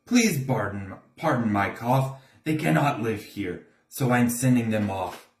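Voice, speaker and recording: A man voicing a cranky, scolding old male creature from a storybook, croaky and indignant. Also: mid-range voice, lively intonation, a little slow, distant mic. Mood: weary, apologetic. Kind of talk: storybook character reading. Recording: echoey room.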